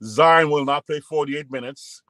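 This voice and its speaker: A man with a fairly high voice argues his side in casual sports talk, rambling and joking, often cutting in on the other speaker. He is heard on a call mic in a dry, close sound with no room around him. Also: measured pace, sharp tone, dry recording. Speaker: podcast co-host.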